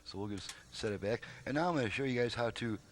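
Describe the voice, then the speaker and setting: A man's voice: medium pitch, fast talker, background noise. An American man giving a casual, unscripted demonstration as a repair technician, explaining things step by step in a plain, folksy way.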